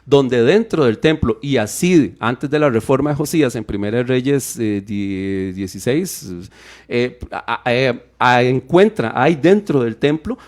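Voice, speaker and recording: A man with a Costa Rican Spanish accent, explaining Bible passages on a radio program with many restarts and quick asides. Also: mid-range voice. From Costa Rica. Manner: lively, digressive, with many restarts and fillers. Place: radio studio.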